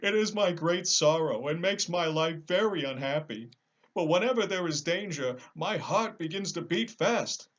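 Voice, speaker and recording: A man's voice: a little high, expressive, normal speed, muffled recording, voice pushed up. A man voicing a big cowardly lion in a storybook, sad, timid and self-pitying, with a plaintive, theatrical delivery. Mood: sad, confessing. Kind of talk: storybook character voice.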